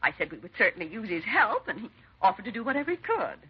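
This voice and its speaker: A woman's voice: medium pitch, sing-song, quick pace, slightly rough. A woman acting a genteel, dignified and trusting charity hostess in a 1950s radio drama, with a proper, hesitant manner. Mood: gracious. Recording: muffled, noisy 1950s radio recording.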